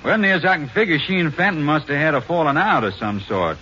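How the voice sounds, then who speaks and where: A cagey middle-aged rancher, who gives hesitant, folksy answers in a sharp, bright voice. The sound is muffled, with faint hiss, like an old radio broadcast. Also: male voice, a little high, expressive, fast talker. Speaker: Ben Purvis. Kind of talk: 1950s radio drama acting.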